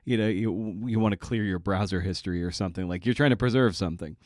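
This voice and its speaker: Halting male podcast host, very dry sound. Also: on the low side, darkish tone, no room sound. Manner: halting, rambling, circling around his point. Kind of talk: casual interview chat.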